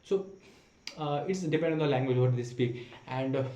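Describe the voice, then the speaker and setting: Young man, English, halting self-practice monologue to camera, mid pitch, roomy echo. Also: warm tone. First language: not English. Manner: hesitant, many fillers and restarts. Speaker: vlogger practicing spoken English. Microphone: camera mic. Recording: echoey room.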